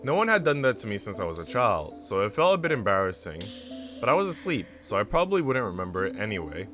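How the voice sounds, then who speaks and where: A man's voice: on the low side, big pitch swings, quick pace, a bit bright, soft background music. A man reading aloud as a reflective, uncertain young woman narrating a visual novel.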